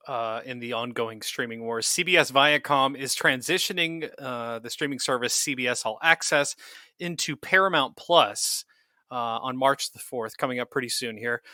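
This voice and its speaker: A man's voice: mid-range voice, bright, forceful tone, dry recording, clean studio sound. A man co-hosting a podcast, presenting news in a semi-scripted rundown. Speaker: podcast co-host.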